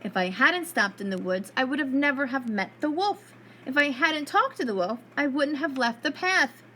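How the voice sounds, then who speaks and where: A woman's voice: high voice, animated, normal speed, bright, forceful tone, slight background noise. A woman voicing a sweet, trusting little girl in a fairy tale, with an earnest, innocent delivery. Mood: regretful.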